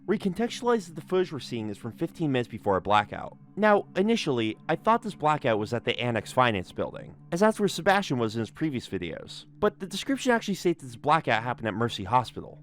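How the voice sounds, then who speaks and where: Guy doing video essay commentary, really lively up and down delivery, casual and emphatic, music under it. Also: medium pitch. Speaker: video essay host and narrator. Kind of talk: scripted analysis commentary with casual asides.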